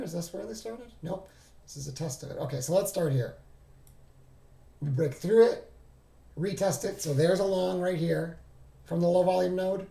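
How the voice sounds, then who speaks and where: A man hosting a trading walkthrough who talks casually and thinks aloud, halting and correcting himself, and is losing his voice. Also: a little high, gravelly, dark tone, roomy sound. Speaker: trading streamer. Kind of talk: casual screen-share walkthrough.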